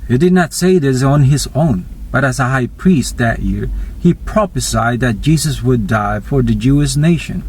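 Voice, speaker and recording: A man reading scripture as a dramatized narration, deliberate and expressive. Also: mid-range voice, slight background noise, poor audio. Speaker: scripture reader.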